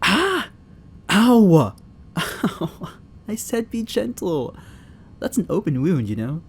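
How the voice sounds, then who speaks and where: A man's voice: high-pitched, very animated, slow, a little hiss or hum, full character voice. A young man acting a shy, flustered barista who is secretly an agent in an ASMR roleplay drama, hesitant, stammering and apologetic. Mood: pained, indignant. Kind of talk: ASMR roleplay, acted dialogue spoken to the listener.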